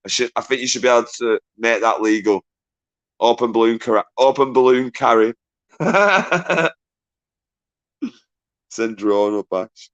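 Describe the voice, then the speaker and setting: A man hosting a casual live stream, swearing freely, laughing a lot and bantering with callers in a loud voice. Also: unhurried, pauses often, muffled recording. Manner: says he has a deep, loud voice. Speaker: livestream host.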